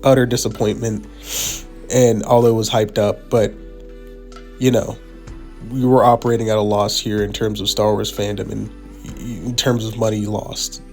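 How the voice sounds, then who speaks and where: A man with a hoarse voice from being sick, giving heated opinion commentary over a video, repeating himself for emphasis. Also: lowish voice, level tone, normal speed, darkish tone, faint music behind. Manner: pleading, repetitive emphasis.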